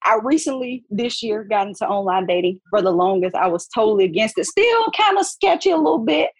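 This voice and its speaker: A woman's voice: mid-range voice, very animated, no room sound. A woman in her twenties from Alabama, chatting and joking as a podcast guest. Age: 20s. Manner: chatty, joking, quick asides. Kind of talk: casual chat.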